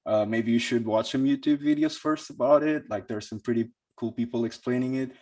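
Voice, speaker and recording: A young man from Portugal giving a conference talk about his career, speaking in a hesitant way with lots of pauses and restarts. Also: medium pitch, darkish tone. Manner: hesitant, many fillers and restarts.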